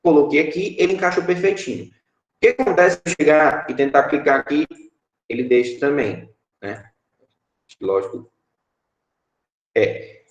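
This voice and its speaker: A man in his thirties with a Brazilian Portuguese accent, a teacher explaining app-building blocks in an online class, often pausing with fillers and checking in with his students. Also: fairly high pitch, dull audio. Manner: explanatory, frequent filler "é" and tag "certo?". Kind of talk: online class screen-share tutorial. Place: home room, indoors. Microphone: webcam video call. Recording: echoey room.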